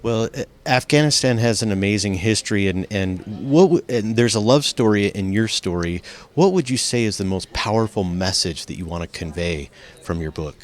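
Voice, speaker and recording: A man's voice: lowish voice, expressive, moderate pace. A man hosting an interview, enthusiastic and complimentary, sometimes tripping over his words. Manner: enthusiastic, complimentary, with some slips. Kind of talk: interview host.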